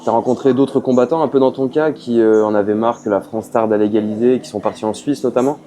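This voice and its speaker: Fast-talking casual male interviewer. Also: mid-range voice, fairly even intonation, mellow. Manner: quick, casual, eager questions. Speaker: journalist interviewer. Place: fight event venue.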